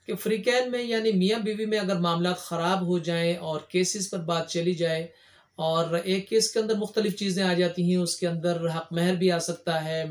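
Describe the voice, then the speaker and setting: Pakistani man giving an explanatory lecture. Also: sits high. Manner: explanatory, repeats and rephrases key points. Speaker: lawyer presenting legal explainer videos. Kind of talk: informal lecture to camera.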